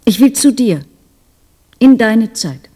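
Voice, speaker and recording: A woman acting an earnest, devoted female doctor in a German radio drama, speaking softly and huskily in a measured, intimate way. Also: on the high side, animated.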